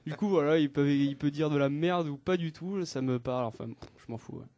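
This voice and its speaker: A man's voice: fairly high pitch, fairly quick, warm tone. A man in his twenties, a music student in a band, answering in a blunt, offhand, joking way. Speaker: band member, guitarist. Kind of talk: casual interview answers.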